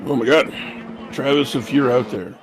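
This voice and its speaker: A man with an average-pitched voice co-hosts a sim racing stream. His banter is casual, wry and teasing, and very loud vehicle and ambient noise runs behind him. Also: normal speed. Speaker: sim racing livestream co-commentator.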